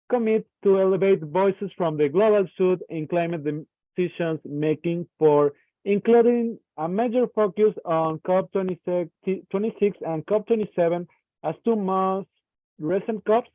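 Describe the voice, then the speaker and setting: A man from Ecuador, Spanish his first language, hosts an online training session in accented English, pausing to find his words. His voice sits a little high and comes through a dry, narrow line that sounds like a phone. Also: no echo. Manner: halting, searching for words. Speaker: session moderator. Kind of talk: introducing a speaker in an online training. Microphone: video call.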